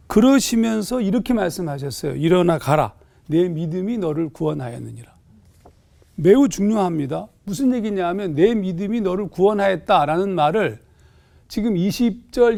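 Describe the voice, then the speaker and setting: A man's voice: sits high, creaky, warm tone. A man, a pastor on a panel, explaining a scripture passage in a thoughtful way with hesitant fillers in a TV studio.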